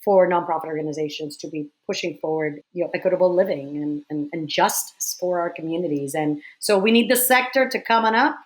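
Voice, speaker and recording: Animated woman in her forties. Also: fairly low pitch, poor audio. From Dominican Republic. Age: 40s. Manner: animated, quick storytelling with frequent restarts. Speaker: guest, theater director. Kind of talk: casual interview conversation.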